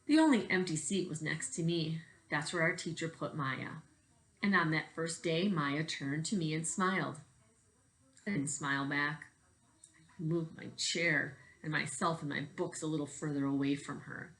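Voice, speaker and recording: A woman's voice: sits low, normal speed, fairly bright tone, low-quality recording. A woman reading a storybook aloud in the manner of a teacher addressing children.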